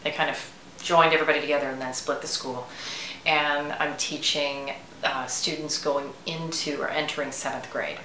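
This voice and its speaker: A woman in her forties talks as a trainee teacher. Her voice is bright and medium in pitch. The room is echoey with a little noise. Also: fairly even intonation, normal speed. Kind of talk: personal account to camera.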